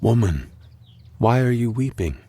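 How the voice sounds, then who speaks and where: An actor playing a solemn, gentle savior in a biblical audio drama, speaking gravely and tenderly. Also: male voice, medium pitch, very animated, a little slow. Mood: tender, reassuring.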